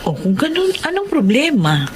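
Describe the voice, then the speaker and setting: A woman's voice: sits high, wildly swooping pitch, moderate pace, slight background noise. An actress playing a caring middle-aged mother in a Filipino radio drama, solicitous and probing.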